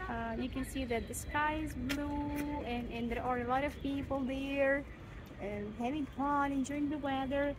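A woman's voice: high-pitched, steady tone. Chatty woman vlogging outdoors by phone. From Ukraine. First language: Russian. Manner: chatty, many pauses and fillers, laughs often. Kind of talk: casual vlog chat. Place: outdoors in a city park. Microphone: phone camera held at arm's length. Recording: outdoor phone recording with clear ambient noise.

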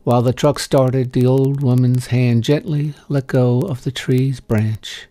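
Slow, mellow man reading a story. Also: medium pitch, fairly even intonation. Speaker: story reader. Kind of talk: scripted short-story narration.

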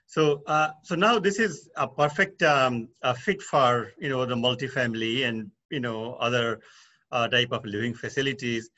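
A man in his sixties hosting a video call interview, asking questions haltingly with repeated words and fillers. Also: medium pitch, pushed and bright. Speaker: interviewer, real estate news host. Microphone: video call webcam mic. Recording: dull video call audio.

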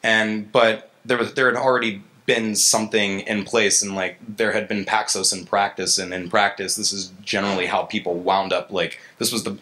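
A man in his thirties gives a casual tech talk into a stage microphone. His voice sits fairly low but has a bright, forceful edge. He talks in a halting, conversational way, restarting often. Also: little pitch movement. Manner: halting, conversational, frequent "like" and "right?". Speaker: conference speaker, software engineer. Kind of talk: informal lightning talk, answering questions. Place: gallery event space, indoors, on stage. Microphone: handheld-style vocal mic on a stand.

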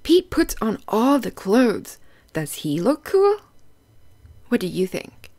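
Female children's read-aloud narrator, unhurried and animated, simple teaching phrases, questions to young listeners. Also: fairly high pitch.

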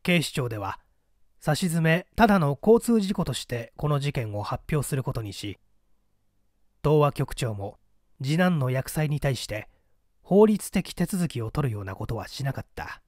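Expressive male narrator, dry close recording. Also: medium pitch, normal speed, no echo. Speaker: audiobook narrator. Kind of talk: dramatic scripted narration of a mystery story.

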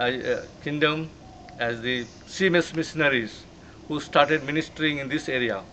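A man's voice: medium pitch, fairly bright tone. A middle-aged man with an Indian accent, a bishop giving a formal, gracious welcome and history talk to camera. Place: outdoors in front of an old church. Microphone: camera mic from a few metres. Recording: muffled outdoor camcorder with ambient noise.

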